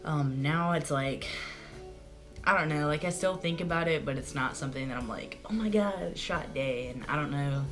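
A young man talking casually to the camera in a small room at home, chatty and rambling with lots of "like" fillers, his voice prone to cracking and going hoarse. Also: a little high, moderate pace, over music. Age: young adult. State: voice goes hoarse easily. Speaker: vlogger. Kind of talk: casual video diary. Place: small bedroom at home, indoors. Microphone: camera in front at close range.

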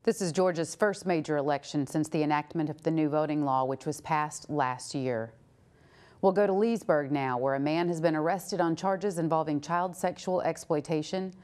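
A woman news anchor in middle age with a low-pitched voice. She reads scripted stories in a TV studio in a formal newsreading style. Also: moderate pace, darkish tone.

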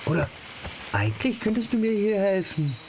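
A man's voice: sits high, big pitch swings, normal speed, noisy background. A man playing an eager, insistent teenage boy in an audio drama, speaking in a casual, pressing way.

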